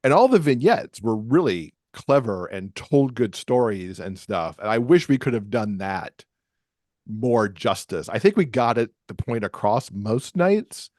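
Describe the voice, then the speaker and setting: A man with a bass voice chatting casually as a podcast guest, talking about himself in a self-deprecating way. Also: sing-song, unhurried, darkish tone, no echo, clean studio sound. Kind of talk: casual chat.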